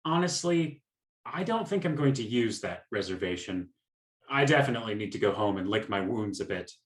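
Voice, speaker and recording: A man's voice: on the low side, expressive, normal speed, natural voice. A man acting in a radio play as a polite, hesitant, heartbroken customer. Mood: dejected. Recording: roomy echo on the voice.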